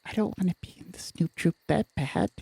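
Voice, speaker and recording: A woman's voice: fairly low pitch, a little fast, gravelly, dark tone, slightly rough, no room sound. A woman playing a tabletop role-playing game, talking in a casual, joking, loose-tongued way.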